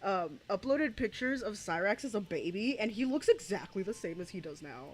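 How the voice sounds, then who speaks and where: A woman's voice: medium pitch, expressive, moderate pace, slight background noise. A young adult woman streaming, rambling through crude, profane reaction commentary with laughing asides.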